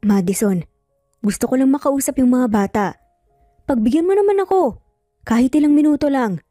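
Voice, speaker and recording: Woman reading as an earnest, gentle male lawyer, soft-toned. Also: high voice, lively intonation, moderate pace, no room sound, clean studio sound, faint music behind. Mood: pleading. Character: earnest male lawyer, pleading estranged father.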